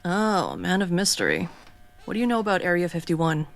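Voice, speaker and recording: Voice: medium pitch, wildly swooping pitch, a little fast, gravelly. An actor playing a skeptical radio co-host who talks bluntly and sounds exasperated, with a faint mains hum behind.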